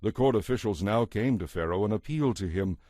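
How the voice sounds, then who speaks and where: A man's voice: lowish voice, lively intonation, quick pace, warm tone, no room sound, clean studio sound, close to an everyday voice. A man narrating a dramatized Bible story as a solemn storyteller.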